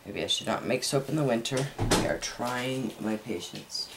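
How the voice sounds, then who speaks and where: Low-key woman with a creaky voice. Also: lively intonation, moderate pace. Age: middle-aged. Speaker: soap maker and host. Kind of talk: casual craft demonstration chat. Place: indoors at a home work table. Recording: noisy room, household background noise.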